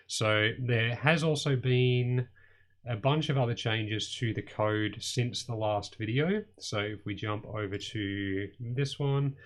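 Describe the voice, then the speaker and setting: A young man hosting a casual electronics coding tutorial, slightly hoarse as he loses his voice, rambling with lots of ums and sort-ofs. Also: on the low side. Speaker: host of an electronics channel.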